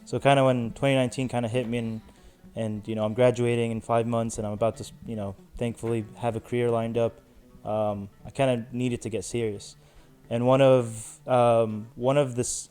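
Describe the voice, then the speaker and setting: A man in his twenties, a podcast guest speaking in a measured, reflective way with many "you know"s. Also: medium pitch, dark tone. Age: 20s. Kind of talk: casual chat.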